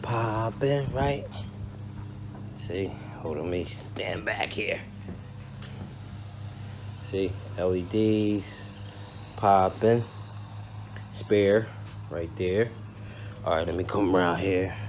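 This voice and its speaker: A man's voice: sits low, extremely slow, long pauses, noisy background. An American man talking casually to viewers as a vlogger, slangy and informal, showing them around as he goes. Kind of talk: casual unboxing and walkthrough talk to camera.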